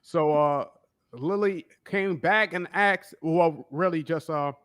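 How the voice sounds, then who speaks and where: Hesitant man moderating, higher voice. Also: slow, fairly bright tone, smooth. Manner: hesitant, many "uh" fillers. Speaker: debate moderator. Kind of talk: moderating, reading viewer comments.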